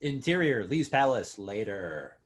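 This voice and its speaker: A man's voice: fairly low pitch, sing-song, measured pace, slightly rough. A man announcing scene headings and captions in a scripted screenplay reading.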